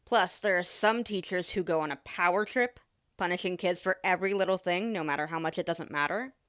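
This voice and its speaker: A woman's voice: on the low side, a little fast, fairly bright tone, smooth, close to an everyday voice. A woman playing a caring, teasing young adult older sister in an ASMR roleplay, talking casually and informally straight to the listener. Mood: frank, exasperated. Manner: playful, informal, sighs and small laughs.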